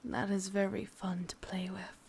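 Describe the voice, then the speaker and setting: A woman with an English accent playing a sadistic, possessive, vain witch in a breathy, whispered ASMR roleplay, intimate and menacing. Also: medium pitch, monotone, moderate pace, darkish tone, a little hiss or hum, lightly acted. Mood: possessive, wistful.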